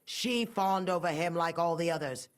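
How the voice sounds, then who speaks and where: A woman's voice: lowish voice, normal speed, natural voice. An actress playing a middle-aged, sanctimonious and scornful mother, a pious murderess without remorse, in a dramatic video game scene. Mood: cold contempt. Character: pious, murderous mother, haughty, fanatical and unrepentant.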